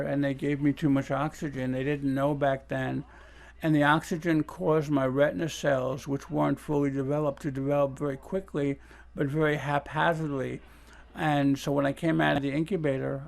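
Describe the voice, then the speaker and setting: High-voiced man with a creaky edge. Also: flat delivery, normal speed, darkish tone. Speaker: blind interview subject in an audio documentary. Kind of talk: casual candid talk and reminiscence.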